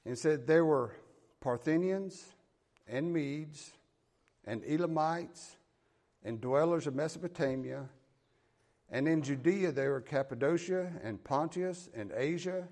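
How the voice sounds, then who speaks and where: A man's voice: a little high, slow pace, a little mellow. Southern man preaching a folksy sermon. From American South. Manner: folksy, explains scripture verse by verse.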